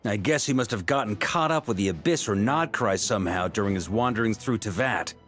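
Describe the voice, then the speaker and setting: Serious knight commander, measured, animated delivery. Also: male voice, lowish voice, soft background music. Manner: measured, authoritative explaining.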